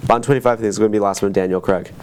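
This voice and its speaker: A man's voice: lowish voice, fairly even intonation, racing, a little mellow, slight background noise. A man speaking as a podcast co-host, chatting casually about movies. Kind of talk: casual movie review chat.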